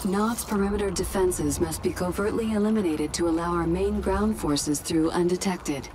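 An actress voicing an impersonal battle computer that gives neutral, clipped announcements in a strategy game. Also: female voice, on the low side, normal speed, noisy background, close to an everyday voice. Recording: game music and vehicle sounds behind.

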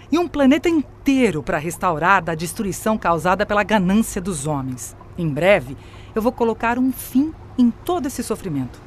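A woman's voice: mid-range voice, a little hiss or hum, natural voice. An actress playing a scornful, grandiose artificial intelligence in an audio drama, mocking and domineering as she turns on her creator.

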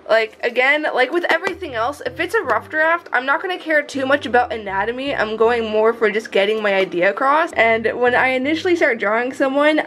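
A woman's voice: on the high side, fairly bright tone. A young American woman from Florida chatting candidly and informally in a casual vlog. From Florida, United States. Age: young adult. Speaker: vlogger and visual novel developer. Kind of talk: casual vlog chat about own project. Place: small indoor home room.

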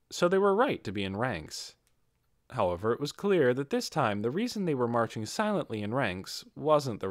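A man's voice: mid-range voice, no echo, studio quality. A man reading a fantasy novel aloud as an amateur audiobook narrator.

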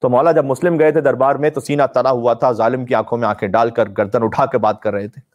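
A man with a mid-pitched voice delivers an impassioned religious sermon. His delivery is emphatic and declamatory, shaped like a mournful storyteller's. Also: fairly even intonation, fast talker, a little mellow. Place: indoor room with draped religious banners, live stream.

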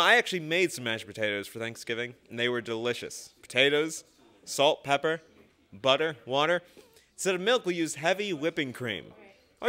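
Young male host, bright slightly high voice, folksy, chatty ad-libs. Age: young adult. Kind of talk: casual ad-libbed show hosting. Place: small indoor studio. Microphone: stand microphone.

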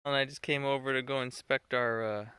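A man's voice: mid-range voice, steady tone, a little fast, pushed and bright, clear, resonant tone. A man in his thirties, talking casually and rambling a bit with fillers and self-deprecating asides as he films his house build outdoors on a phone at arm's length. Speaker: home builder vlogger. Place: outdoor construction site in woods. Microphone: phone held at arm's length.

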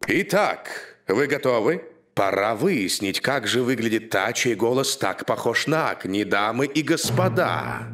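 A man's voice: mid-range voice, sing-song, measured pace, a bit bright, a little hiss or hum. A man voicing a polite, ceremonious elderly henchman who hosts a contest in a cartoon dub. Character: elderly formal male henchman hosting a contest. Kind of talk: cartoon dub, game-show announcing.

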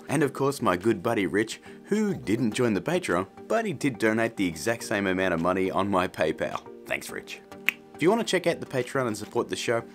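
Jokey tutorial guy in his 30s, lowish voice that bounces around a lot, home studio. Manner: energetic, chatty and jokey. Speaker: video tutorial host. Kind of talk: upbeat software tutorial.

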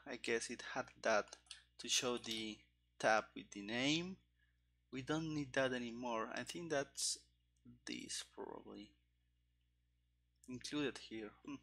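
A man in his thirties giving casual, halting live coding commentary into a headset mic, musing to himself as he works. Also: mid-range voice, pushed and bright. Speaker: streamer, game developer. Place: indoor room at a computer. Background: keyboard typing and clicking.

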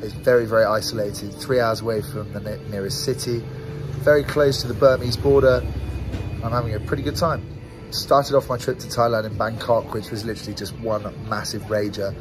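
A man's voice: lowish voice, little pitch movement, a little fast, music in the background. A British man in his twenties chatting casually to camera as a travel vlogger, in a laid-back, slangy way, outdoors on a handheld camera. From grew up in Southeast Asia, mainly Singapore. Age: 20s. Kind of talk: casual vlog chat to camera. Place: outdoors, open-air bar and roadside in a small town. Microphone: phone or camera at arm's length.

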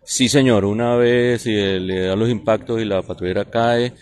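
A man with a Colombian accent and a low voice speaks as a police officer giving a press interview. He is formal and halting, searching for words as he answers outdoors into handheld microphones. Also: fairly even intonation, a little mellow, slight background noise. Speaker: police colonel. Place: outdoors at night outside a building. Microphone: handheld reporters' microphones.

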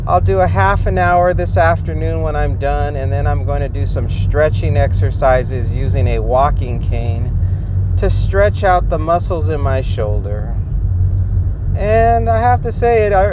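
Man narrating his delivery drive. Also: on the high side. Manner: rambling, thinks out loud with fillers. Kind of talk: casual running commentary while driving. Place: inside a car while driving. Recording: clear road and car noise. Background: car and street noise.

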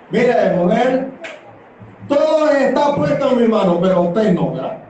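A man's voice: very high voice, darkish tone. A middle-aged man with a Panamanian accent preaching an impassioned, conversational sermon full of rhetorical tag questions. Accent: Panamanian Spanish. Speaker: church elder preaching. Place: small church hall full of congregants, indoors. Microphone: distant from camera, echoing through the room. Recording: echoey hall from far off, background noise.

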